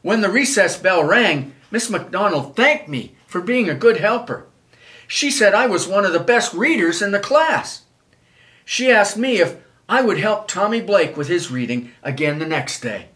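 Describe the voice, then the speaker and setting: An older man reads a children's storybook aloud in an expressive, measured way. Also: high voice. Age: older, 70s. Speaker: children's author reading own book. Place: small wood-panelled room, indoors.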